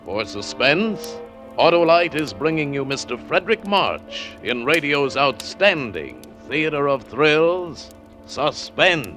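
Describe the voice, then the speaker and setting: Slow, dramatic old-time radio announcer man. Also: a little high, very animated. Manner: slow, dramatic, emphatic delivery. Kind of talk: formal 1940s radio announcing. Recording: old radio broadcast with music.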